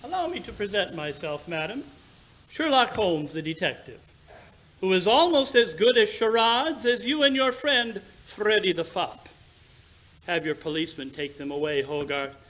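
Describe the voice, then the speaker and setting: A man's voice: sits high, expressive, moderate pace, fairly bright tone, low-quality recording. A man playing a shrewd, self-assured, commanding detective in a theatrical 1940s radio drama style. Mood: smug, triumphant.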